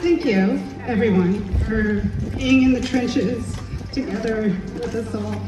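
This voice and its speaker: A woman's voice: on the high side, takes it slowly, very noisy. A woman giving a heartfelt thank-you speech to a crew at a wrap. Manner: grateful, heartfelt. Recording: distant echoey hall with loud music.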